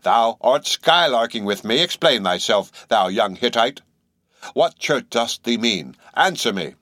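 A man voicing a stern, pious old shipowner with a hollow voice and slow, archaic speech. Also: mid-range voice, big pitch swings, sharp tone, no room sound, studio quality.

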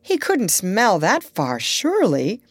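A woman voicing a kindly, excitable man, a doctor, who exclaims and gives brisk orders. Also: medium pitch, husky, dry recording, heavily acted. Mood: puzzled.

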